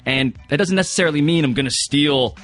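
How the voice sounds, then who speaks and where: A man's voice: on the high side, brisk. A man in his thirties, a sports journalist guest telling stories in casual studio talk. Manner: animated, storytelling. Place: radio studio.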